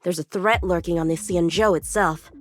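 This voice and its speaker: A woman's voice: on the low side, fairly even intonation, normal speed, no echo, studio quality, lightly acted. A woman playing an eager, proud teenage boy swordsman in a video game, chatty, cocky and earnest. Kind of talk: English video game voice acting.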